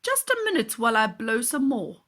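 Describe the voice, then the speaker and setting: Woman as a kindly, animated storybook man. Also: on the high side, normal speed. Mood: friendly, reminiscing. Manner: friendly, encouraging, playful. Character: kindly, mysterious bubble-blowing man, friendly to children.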